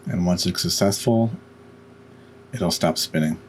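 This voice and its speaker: Male tutorial narrator, deep register, halting step-by-step instruction, noisy home recording. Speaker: tutorial host.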